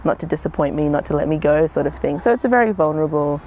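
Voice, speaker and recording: A young woman from Zimbabwe, a singer-songwriter, speaking reflectively in an interview, often pausing with "um" and "sort of". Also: low-pitched, lively intonation, a little mellow, a little hiss or hum. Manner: thoughtful, hedging with frequent "um" and "sort of".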